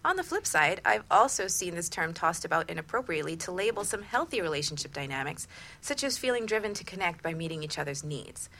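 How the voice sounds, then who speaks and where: A woman's voice: fairly low pitch, big pitch swings, quick pace, a bit bright, slight background noise. A woman co-hosting a talk radio show as a relationship coach, in a partly scripted presenting style.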